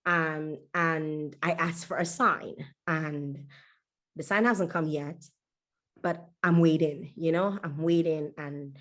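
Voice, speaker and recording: A woman from Dominica, telling stories in an animated, conversational way as an interview guest. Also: sits low, takes it slowly. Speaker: podcast guest.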